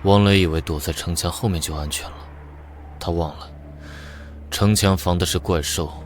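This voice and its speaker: An actor voicing a calm, menacing and powerful commander in a motion-comic drama, speaking with cool authority. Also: male voice, low-pitched, music in the background, lightly acted. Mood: cool, resolute. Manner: cool, commanding, unhurried threats.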